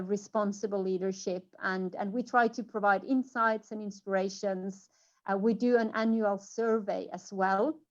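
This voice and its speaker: A woman's voice: mid-range voice, highs cut off. A middle-aged woman from Finland giving a conference presentation over a video call, talking with frequent fillers and restarts. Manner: hesitant, many fillers and restarts. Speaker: conference speaker.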